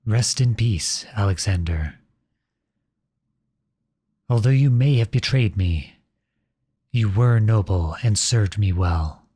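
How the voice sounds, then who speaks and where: A male actor voices a runaway prince turned outlaw in a dramatic roleplay. His voice is on the low side and very husky, recorded dry. He speaks with earnest, imploring nobility and an anguished edge. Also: slow, pauses often, mellow, dry recording. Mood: grieving, subdued. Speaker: audio roleplay performer. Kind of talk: dramatic ASMR roleplay acting.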